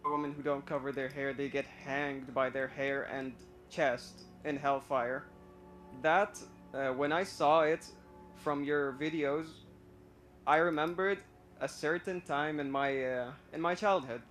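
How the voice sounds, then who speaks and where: A man's voice: on the high side, steady tone, a little slow, a bit bright, faint music behind. A young man from Iraq calling in to a live stream, telling his story hesitantly with many fillers and restarts. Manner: nervous, admits stage fright. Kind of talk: casual personal story.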